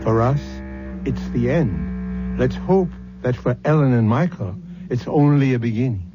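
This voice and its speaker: A man hosting an old radio drama, reading scripted narration in a measured, theatrical and reflective manner. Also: medium pitch, animated. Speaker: host and narrator. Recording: muffled old radio broadcast, music.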